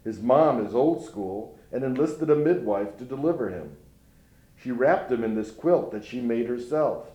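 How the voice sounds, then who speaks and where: Man voicing an old grandfather, grieving, bitter, folksy, mellow tone, echoey room. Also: medium pitch, animated, unhurried, close to an everyday voice. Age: older. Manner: folksy storytelling, rueful. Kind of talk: dramatic monologue reading. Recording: roomy echo.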